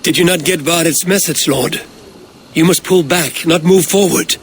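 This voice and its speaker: An actor playing a steadfast, blunt Viking warrior leader in a video game. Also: male voice, a little high, moderate pace, a little hiss or hum, close to an everyday voice. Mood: urgent warning. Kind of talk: cinematic video game acting.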